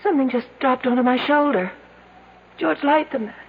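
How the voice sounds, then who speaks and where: High-voiced, desperate trapped woman. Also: moderate pace, slightly rough. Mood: anxious, pleading. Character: anxious, pleading trapped woman, desperate and excitable. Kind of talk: 1970s radio drama acting. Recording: tinny, noisy 1970s radio broadcast.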